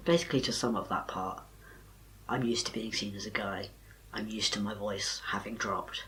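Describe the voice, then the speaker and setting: Soft-spoken young man. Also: on the low side, slight background noise, poor audio. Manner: soft and quiet, little vocal power. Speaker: vlogger. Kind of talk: casual talk to camera.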